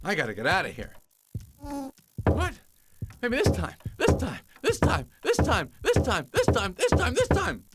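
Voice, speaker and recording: A man voicing a wisecracking, neurotic young bee in a cartoon game, wry and given to exclamations, with loud music behind him. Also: extremely high pitch, huge pitch swings, a little slow, very noisy, exaggerated voice. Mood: weary, exasperated.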